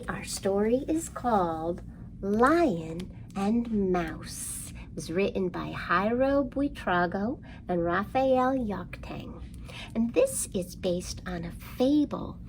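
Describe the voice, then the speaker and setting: A woman's voice: medium pitch, big pitch swings, slow, gravelly, darkish tone, a little hiss or hum, rough recording. A woman hosting an interactive storytime for young children, speaking in a sing-song way and putting questions to her listeners.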